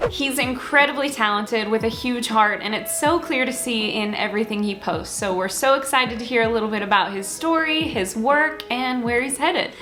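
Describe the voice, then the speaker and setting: A woman's voice: fairly high pitch, moderate pace, few pauses, sharp tone, soft background music. A woman hosting an interview, enthusiastic and upbeat as she introduces and questions her guest. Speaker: interview host.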